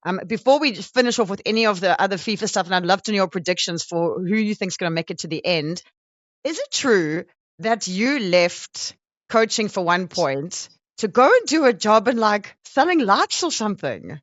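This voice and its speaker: A woman hosts a casual interview in a mid-pitched voice. She is chatty and playfully teasing. The sound is very dry and a bit dull. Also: animated, moderate pace, no room sound. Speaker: sports show host, interviewer.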